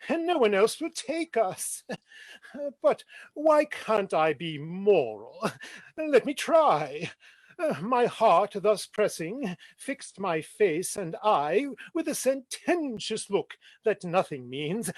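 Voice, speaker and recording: A man's voice: sits high, no room sound, full character voice. A man playing a comically woeful stage player. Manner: theatrical, declamatory, laughing through lines. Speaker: stage reader. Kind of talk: dramatic verse reading.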